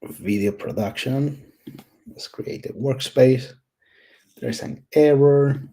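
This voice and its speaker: A man's voice: mid-range voice, slow pace, mellow, a little hiss or hum. A man with a thick Puerto Rican accent who talks through a coding build, casual and candid, thinking aloud with many ums and restarts. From Puerto Rico. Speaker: tech YouTuber. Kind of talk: screen-recorded coding walkthrough.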